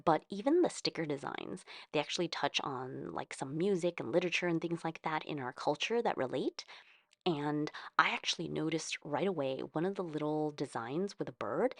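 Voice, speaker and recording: A woman's voice: low-pitched, no room sound, studio quality. A woman with an American accent chatting casually in a rambling, conversational way, thinking aloud as she hosts a craft video. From California, United States. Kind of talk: casual chat about journaling.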